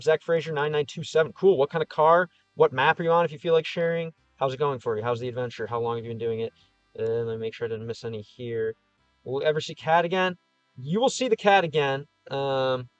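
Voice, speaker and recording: A man's voice: medium pitch, no room sound, muffled recording. A man with a Chicago Midwest accent, slightly whiny vowels, thinking aloud as he answers comments on a livestream with lots of fillers and restarts. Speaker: streamer and game creator.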